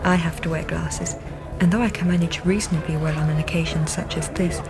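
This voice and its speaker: A demure, earnest young lady of Victorian times in a radio play. Her voice sits a little low, and she speaks with polite, proper eagerness. Faint music runs behind her. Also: fairly even intonation, fairly quick. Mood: polite, flattered. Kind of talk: period radio drama acting.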